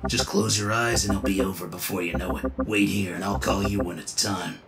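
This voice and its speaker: A man voicing a stern, coolly menacing cyborg fighting machine in a comic-book dub, formal and measured. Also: low-pitched, steady tone, over a noisy crowd, lightly acted. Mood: cool menace.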